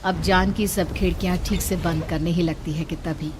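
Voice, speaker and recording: Low-voiced woman narrating a spooky story. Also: steady tone, normal speed, noisy background. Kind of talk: dramatic scripted horror story narration. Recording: background music under narration.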